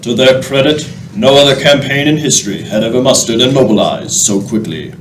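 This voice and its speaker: A man's voice: medium pitch, brisk, close to an everyday voice. A man giving scripted, dramatic narration as a narrator in a radio play. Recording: roomy, off-mic, faint noise.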